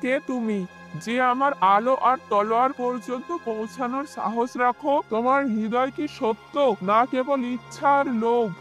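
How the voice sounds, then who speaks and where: A man's voice: very high voice, steady tone, normal speed, a bit bright, faint music behind. A man voicing a mysterious, stern guardian water spirit in a cartoon fairy tale, questioning in a solemn, formal way. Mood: challenging.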